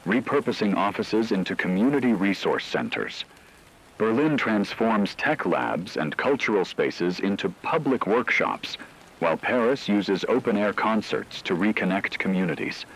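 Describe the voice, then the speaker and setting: A man acting as a grave radio news anchor with a deep voice. He reads scripted doomsday bulletins formally and evenly, with clear rain-like noise behind him. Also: fairly bright tone, rough recording. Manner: formal, measured reading.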